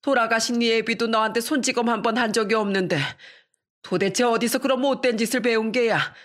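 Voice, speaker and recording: A woman's voice: a little high, fairly even intonation, fairly quick, voice pushed up, close to an everyday voice. A woman voicing a fierce, protective old grandmother who shrilly scolds her grown son. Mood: scolding.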